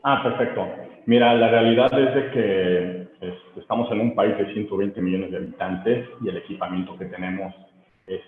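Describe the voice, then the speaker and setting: A man with a Mexican accent answers as a panelist in a lowish voice. The call is heavily reverberant and muffled, with faint noise. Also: moderate pace. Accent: Mexican Spanish. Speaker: healthcare panelist.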